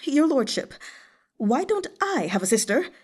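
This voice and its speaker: A woman's voice: high-pitched, big pitch swings, a little slow, pitched above the performer's own voice. A woman voicing a fretful, envious male eunuch official in a storybook, plaintive and deferential. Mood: lamenting.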